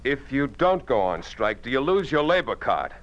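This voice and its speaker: An actor playing a principled, outspoken newspaper editor in a 1950s radio drama, with an emphatic, oratorical American delivery. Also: male voice, medium pitch, very animated, normal speed, a bit bright. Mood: pressing, indignant. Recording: dull lo-fi 1950s radio recording.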